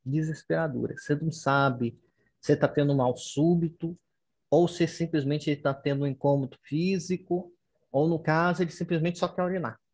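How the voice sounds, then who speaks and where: A man's voice: a little high, steady tone, normal speed, a little mellow, husky. A Brazilian man, a student in an online class, speaking earnestly and hesitantly, searching for words over a video call.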